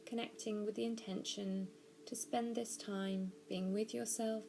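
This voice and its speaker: A woman narrating a guided body scan meditation, giving gentle, measured instructions slowly with pauses. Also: mid-range voice, fairly even intonation, vocal fry, rough recording. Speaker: mindfulness practitioner guiding a meditation.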